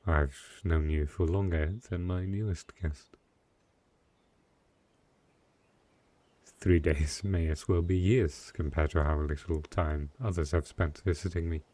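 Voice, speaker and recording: A man playing a lonely, gentle but possessive dark knight in an intimate fantasy audio roleplay, speaking softly and haltingly. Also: deep voice, steady tone, no room sound. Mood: tender, wistful.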